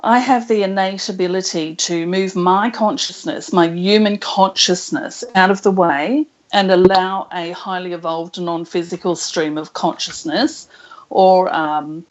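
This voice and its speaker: A woman being interviewed as a spiritual channeler, speaking slowly and thoughtfully with soft laughs. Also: lowish voice, fairly even intonation. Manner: slow, thoughtful, laughs softly. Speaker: guest channeler. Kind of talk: casual interview chat. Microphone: internet call. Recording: muffled call audio.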